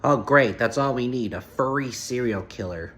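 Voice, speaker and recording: A man giving comedic reaction commentary with sarcastic, deadpan quips. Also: medium pitch, normal speed, background noise.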